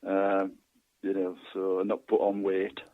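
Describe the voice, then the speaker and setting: A man's voice: low voice, monotone, slow pace, no echo. A man in his late sixties from Yorkshire, chatting as an interview guest in a modest, hesitant way over a phone-like line. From Yorkshire, England. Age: late 60s. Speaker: guest author. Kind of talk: casual interview chat. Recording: narrow tinny phone-like line.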